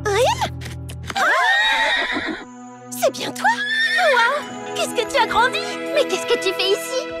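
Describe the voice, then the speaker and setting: A tiny-sounding, excitable cartoon girl. Her extremely high, squeaky voice exclaims dramatically over loud music. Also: slow pace, pauses often, heavily acted. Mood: delighted. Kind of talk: French cartoon dubbing.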